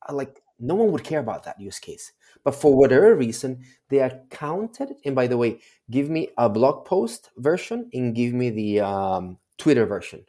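A man's voice: mid-range voice, very animated, normal speed, warm tone. A man with a Spanish accent, a guest on a podcast, talking in a jokey, friendly way. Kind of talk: casual interview.

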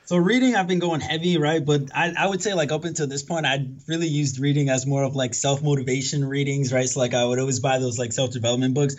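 A man born in the Dominican Republic, a podcast guest talking in a reflective, casual way, often tagging his points with "right?" and filling pauses with "um". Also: mid-range voice, steady tone, highs cut off. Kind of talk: casual conversational interview.

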